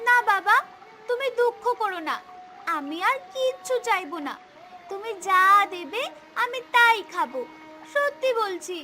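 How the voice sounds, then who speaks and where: A woman's voice: extremely high pitch, sing-song, a little slow, music in the background, full character voice. A woman voicing a timid, pleading little girl from a poor family in a cartoon. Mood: earnest, consoling. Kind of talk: cartoon voice acting.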